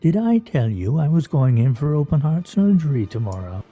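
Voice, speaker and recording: A man's voice: on the high side, sing-song, moderate pace, warm tone, lo-fi sound, soft background music. A man playing a wry, theatrical husband in a comic radio play, speaking in a pompous, mock-formal way. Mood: hushed, conspiratorial. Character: wry, theatrical, teasing husband with grandiose fantasies. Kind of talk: stage radio play comedy acting.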